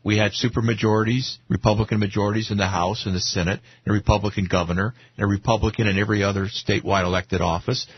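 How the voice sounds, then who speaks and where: A man's voice: lowish voice, steady tone, fast, hardly any pauses, slightly rough, no room sound. A man giving his political views in casual discussion on a talk radio show. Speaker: talk radio guest commentator. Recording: dull lo-fi radio audio.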